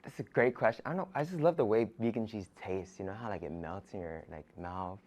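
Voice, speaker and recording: A man's voice: lowish voice, fast talker, a little mellow. A man in his late twenties, a chef contestant, chatting casually in a hesitant, filler-heavy way. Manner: hesitant, peppered with "like". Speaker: former restaurant chef, contestant. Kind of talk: casual chat.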